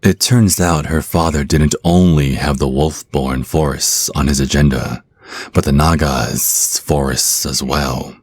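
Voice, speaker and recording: Male actor as menacing naga vampire, rumbling bass, slow deliberate delivery, sweetly condescending, close dry ASMR sound. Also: steady tone, husky, no room sound, heavily acted. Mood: controlled menace. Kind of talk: ASMR roleplay acting. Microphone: close microphone, dry.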